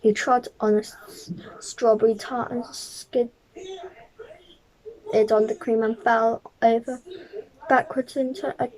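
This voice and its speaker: Woman, storyteller, children's read-aloud, slightly high voice, soft-edged mellow tone, slow and halting delivery. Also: level tone, low-quality recording.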